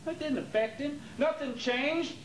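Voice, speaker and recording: A man's voice: very high-pitched, a little slow. A man playing a troubled, loyal nephew who defends his uncle, in an amateur stage play. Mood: troubled, indignant. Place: theater during a rehearsal. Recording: roomy, noisy 1980s theater rehearsal tape.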